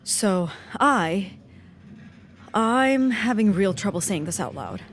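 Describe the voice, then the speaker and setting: An actress playing an awkward, exasperated secret agent with a hesitant, dry manner, in an audio comedy drama. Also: female voice, medium pitch, expressive, takes it slowly. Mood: flustered, evasive.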